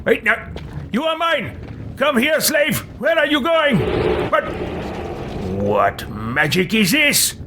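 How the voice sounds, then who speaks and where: A man's voice: extremely high pitch, very animated, slow pace, long pauses, background noise, exaggerated voice. A man roleplaying an imperious, pompous adventurer in theatrical, formal speech over a video game. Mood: indignant. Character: imperious, pompous villainous adventurer who expects servants. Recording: loud game music behind. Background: game music and sounds.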